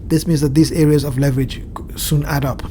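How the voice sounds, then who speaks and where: Nasal, congested man with a dark voice. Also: fairly high pitch, steady tone, slight background noise. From Southern Hemisphere. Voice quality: slightly nasal. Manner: measured, explanatory, with frequent ums and restarts. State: blocked nose from a cold. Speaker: crypto market analyst. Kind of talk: screen-share chart walkthrough. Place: home at evening, indoors.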